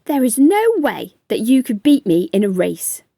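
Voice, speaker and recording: A woman's voice: on the high side, very animated, normal speed, creaky, mellow, no room sound, studio quality, heavily acted. Woman doing a bragging little ant. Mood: boastful. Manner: bragging, scornful. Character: boastful impatient young male ant.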